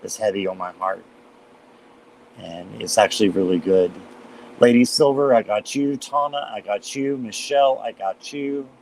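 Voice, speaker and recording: Male tarot host, lowish voice, slightly hoarse, chatty and casual with fillers. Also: normal speed, darkish tone, a little hiss or hum, low-quality recording. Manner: chatty, greets viewers by name, many fillers. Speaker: tarot reader hosting a live stream. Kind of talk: casual live-stream chat.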